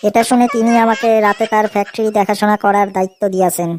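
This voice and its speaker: A quick-talking young Bengali boyfriend from a comedy cartoon, played by a woman, with a middling pitch. He placates in a cheeky, sly way. There is faint noise in the recording. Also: fairly even intonation, lightly acted.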